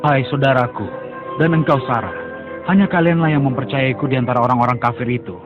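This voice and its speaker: An actor voicing a devout prophet who speaks with solemn, earnest conviction. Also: male voice, medium pitch, fast, natural voice. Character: devout prophet, calm man preaching to his people. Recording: dull lo-fi dub over music.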